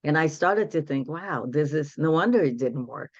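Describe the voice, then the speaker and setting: A woman's voice: low voice, lively intonation, moderate pace, a little mellow, no room sound, highs cut off. Woman in her sixties, dry call audio. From Brazil. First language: Portuguese. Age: 60s. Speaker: guest, women's empowerment coach. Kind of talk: casual interview storytelling.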